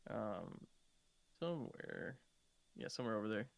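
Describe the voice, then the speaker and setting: A man's voice: lowish voice, very animated, measured pace, creaky, a little hiss or hum. A man chatting casually as a guest on a streamers' podcast. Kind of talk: casual chat.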